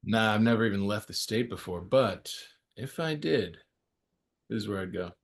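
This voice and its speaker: A man's voice: lowish voice, lively intonation, moderate pace. A man playing a laid-back, friendly young ski guy in a screenplay table read, casual and teasing. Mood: warm, casual. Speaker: actor at a script table read. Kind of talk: casual naturalistic screenplay reading.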